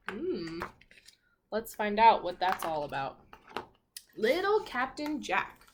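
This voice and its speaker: A woman primary school teacher reading a picture book aloud to children, expressive and instructive, pausing to put questions to the class. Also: mid-range voice, a little hiss or hum.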